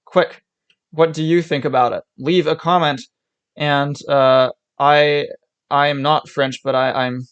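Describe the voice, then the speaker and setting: A man's voice: fairly high pitch, steady tone, a little slow, fairly bright tone, clean tone, lo-fi sound. A young man from Switzerland reacting to videos on a live stream, talking in rambling bursts with restarts and laughs. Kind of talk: casual reaction commentary.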